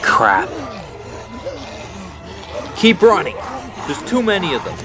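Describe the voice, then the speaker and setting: A sincere, commanding teenage boy leading survivors in a horror audio drama. His delivery is earnest and plain-spoken, with very lively swings of pitch. Music runs beneath him. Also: slow, heavily acted. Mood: urgent, shouting. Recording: music under the voice.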